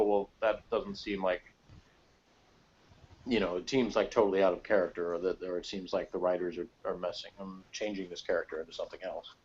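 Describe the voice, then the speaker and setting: A man's voice: on the low side, darkish tone, slightly rough. Meandering man in slightly muffled sound. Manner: conversational, meandering, frequent "like". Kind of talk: casual opinionated chat. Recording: slightly dull, lo-fi voice recording.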